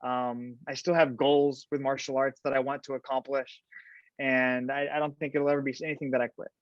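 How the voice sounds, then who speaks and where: Animated man telling fight stories. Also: medium pitch, fairly quick, dry recording. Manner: animated storyteller, fillers like "right?". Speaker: podcast guest, fight commentator. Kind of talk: casual interview chat. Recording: dull lo-fi sound.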